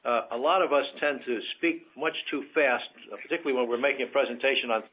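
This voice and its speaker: A man's voice: mid-range voice, quick pace. A man hosting a call-in show, adding a folksy tip with a personal story. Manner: folksy, adds tips with anecdotes. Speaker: host. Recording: tinny phone line with faint noise.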